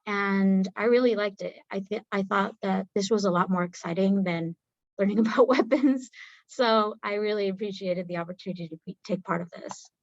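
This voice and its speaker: A woman's voice: medium pitch, fairly even intonation, normal speed, no room sound, highs cut off. A young woman engineer presenting on a video call in a casual, chatty way, laughing easily. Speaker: engineer presenting on a career panel. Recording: music playing under voice. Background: video soundtrack music.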